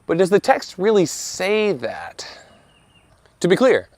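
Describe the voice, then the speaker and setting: A man in his thirties, slightly hoarse from a lingering cough, giving an earnest, conversational commentary with playful asides as host of his video channel. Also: high voice, wildly swooping pitch, takes it slowly, very noisy. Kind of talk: casual scripted commentary.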